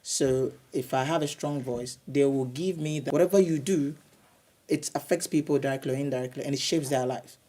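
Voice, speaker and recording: A man's voice: medium pitch, fairly quick, mellow. Hesitant man repeating his words. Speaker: city councillor. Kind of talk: broadcast interview answers.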